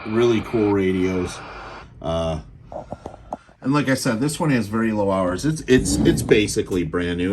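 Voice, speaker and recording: Low-voiced man giving a casual demo. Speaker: auction seller demonstrating radio gear. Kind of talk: casual unscripted product demo. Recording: clear background noise, home video.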